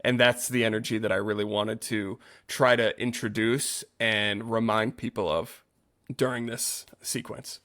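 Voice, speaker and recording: A man's voice: on the low side, flat delivery, a little slow, fairly bright tone. A man speaking as a yoga teacher and podcast co-host, explaining things earnestly in casual conversation and often correcting himself as he goes.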